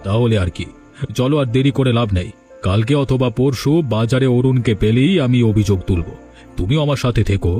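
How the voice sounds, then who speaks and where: A man's voice: medium pitch, a little fast, dark tone, soft background music, natural voice. A man voicing a spiteful village uncle who schemes in a sly, conspiratorial way, in a cartoon. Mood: spiteful, scheming. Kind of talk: Bengali cartoon dialogue dubbing.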